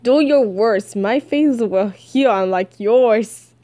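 Woman playing a young male comic villain, sullen, sardonic, menacing, slow delivery. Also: on the high side, mellow, dry recording. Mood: taunting.

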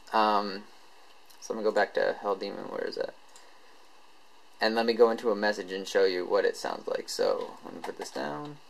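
Casual, halting man giving a tutorial. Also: fairly low pitch, vocal fry, low-quality recording. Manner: halting, informal, unscripted. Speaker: tech tutorial presenter.

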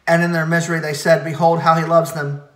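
Man, fairly high voice, flat monotone liturgical reading, fast, roomy echo. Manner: quick, steady recitation with stumbles and self-corrections. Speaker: reader leading a church service. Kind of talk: liturgical chanting of hymn verses.

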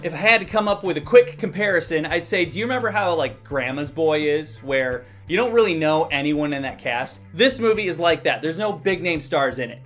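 A man in his thirties with a fairly high voice talks to camera as a film reviewer. He is conversational, comic and animated, and swears casually. Music plays quietly behind him. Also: a little fast, few pauses. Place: small indoor room. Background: faint background music.

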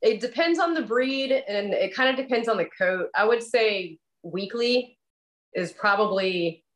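A young adult woman, a dog groomer, answering questions in a relaxed, chatty way over a video call. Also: mid-range voice. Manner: relaxed, chatty, many "like" fillers. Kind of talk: casual interview answers. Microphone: video call webcam.

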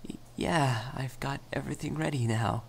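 A man voicing a shy, flustered young male vampire in a soft ASMR roleplay, stammering and hesitant. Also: medium pitch, normal speed, gravelly, a little hiss or hum, natural voice. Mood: apologetic, sad.

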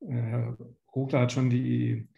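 A man in his fifties from Germany presents a lecture in a very dark, soft-edged voice with a noticeable fry. He explains things step by step, pausing and feeling for words, and the sound is that of a video call from an echoey room. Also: mid-range voice, flat delivery, highs cut off. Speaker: medical lecturer, pediatric gastroenterologist. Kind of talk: slide lecture to patients. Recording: roomy home or office echo.

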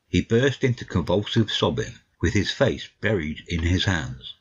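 A man with a husky voice narrating a dramatic audiobook as the detective's companion, on a slightly muffled recording. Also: deep voice, very animated, normal speed, full character voice. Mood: measured storytelling.

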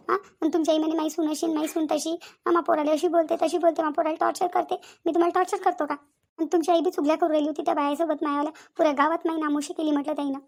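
Lady doing a super high fast cartoon daughter-in-law, hurt and stubborn, keeps repeating herself. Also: creaky. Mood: indignant. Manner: repeats phrases insistently, rhetorical questions. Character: aggrieved young daughter-in-law, tearful, stubborn, complaining. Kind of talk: Marathi cartoon family comedy-drama acting.

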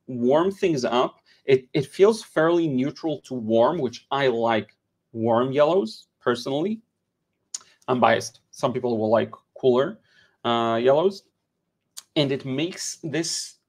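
A man in his thirties from Israel talks through a watercolor painting on a live stream. His delivery is loose and conversational, full of "uh"s and restarts as he answers chat and explains colors. He is recorded indoors in a home studio on a headset mic. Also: medium pitch. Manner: relaxed, chatty, frequent "uh" and repeated words. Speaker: watercolor art teacher.

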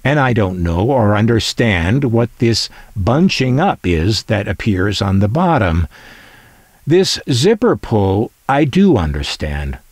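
A man's voice: on the low side, big pitch swings, slow pace, darkish tone, no echo. A middle-aged American man narrating in a conversational way, wry and opinionated, with dry humour. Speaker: collector presenting vintage transistor radios.

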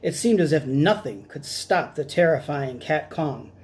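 Mellow middle-aged man reading a storybook. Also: on the high side, animated, measured pace. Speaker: storytime reader. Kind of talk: dramatic children's picture book read-aloud. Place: small room at home, indoors.

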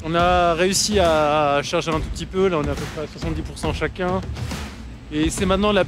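A man's voice: fairly high pitch, fairly even intonation, fairly quick, music in the background. A young man chatting casually to an action camera outdoors as a trip vlogger. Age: young adult. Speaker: vlogger on an electric unicycle trip. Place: outdoors, village streets and forest trails. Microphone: action camera at arm's length.

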